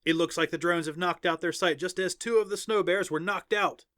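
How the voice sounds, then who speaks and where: Male reader as showman sports announcer for a story, excited, high and loud, drawn-out emphasis. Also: no room sound, clean studio sound. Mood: urgent excitement. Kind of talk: arena sports commentary in an audiobook.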